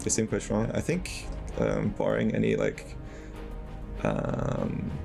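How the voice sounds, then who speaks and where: A man, a pro player, giving measured and hesitant answers in an interview clip. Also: lowish voice, huge pitch swings, gravelly. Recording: music playing underneath.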